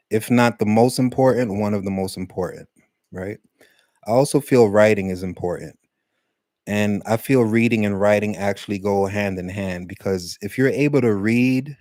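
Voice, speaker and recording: A man teaching English to adult learners in a loose, rambling talk, full of fillers and slowing down to stress key points. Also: on the low side, darkish tone, dry recording, clean studio sound. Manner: conversational, many fillers, speeds up and slows for emphasis. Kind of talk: casual rambling lesson talk.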